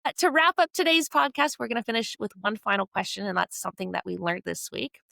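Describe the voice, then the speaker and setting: A woman's voice: mid-range voice, expressive, quick pace, gravelly, pushed and bright, no room sound. A woman in her twenties from Canada, hosting a podcast in a bubbly, upbeat way. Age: 20s. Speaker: podcast host. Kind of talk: casual podcast chat.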